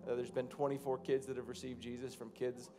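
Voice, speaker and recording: Halting man preaching on a church stage. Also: medium pitch, little pitch movement, over loud music. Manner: loose, halting, repeats and restarts phrases, rising to fervent prayer. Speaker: pastor. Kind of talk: sermon and congregational prayer. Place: large church stage, indoors.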